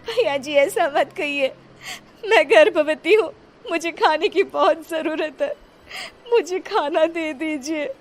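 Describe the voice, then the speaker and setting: A woman's voice: sits high, slow, faint music behind, pitched above the performer's own voice. A woman voicing a young pregnant widow who pleads tearfully. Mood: pleading.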